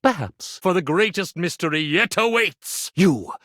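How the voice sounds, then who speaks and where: A man's voice: sits high, lively intonation, measured pace, a bit bright, dry recording, studio quality. A man voicing a pompous, boastful stage magician character in a video game, with grandiloquent showman delivery and dramatic flourishes. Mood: ominous, grandiose.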